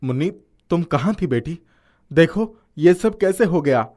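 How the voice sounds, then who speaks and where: Casting: humble village dad, performed by a woman, low voice, affectionate and fatherly, storybook audio. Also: lively intonation, unhurried, dark tone.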